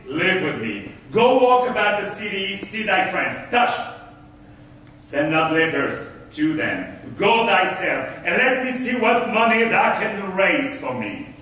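A man acting a scheming, self-assured merchant on stage, declaiming Elizabethan drama with deliberate grandeur. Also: sits high, bright, forceful tone, recorded from a distance, heavily acted. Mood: commanding. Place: concert hall stage, far camera. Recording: echoey hall from afar, faint noise.

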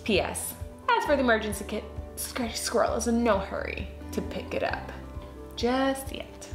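A woman reading a children's storybook aloud in an expressive, dramatic way for young listeners. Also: on the high side, moderate pace. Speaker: children's book read-aloud host. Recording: roomy echo with music behind. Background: music.

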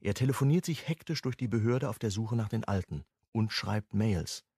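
An actor playing the reflective narrator of a crime radio play, reading scripted narration. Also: male voice, lowish voice, normal speed, a little mellow, breathy edge, no room sound, clean studio sound.

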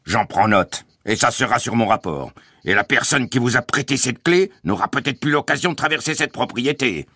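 A huge brutish guard from a storybook. The voice is raspy and raised well above a low register, swooping as it barks officious questions. He is menacing and bullying, and the sound is close and dry. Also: very animated, moderate pace, no room sound, full character voice. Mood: accusing, sarcastic. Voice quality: raspy, gravelly. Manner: shouting, menacing, officious interrogation.

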